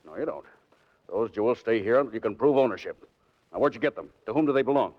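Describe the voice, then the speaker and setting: An actor playing a brisk, skeptical police inspector with an authoritative manner in a 1940s radio drama. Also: male voice, medium pitch, animated, lightly acted. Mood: stern, skeptical. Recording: dull, noisy 1940s radio recording.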